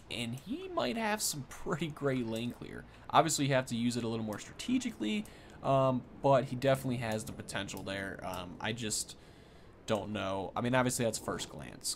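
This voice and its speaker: A young man hosting a gaming video, thinking aloud in casual, rambling, unscripted commentary. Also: medium pitch. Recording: clear background noise from game. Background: video game sounds.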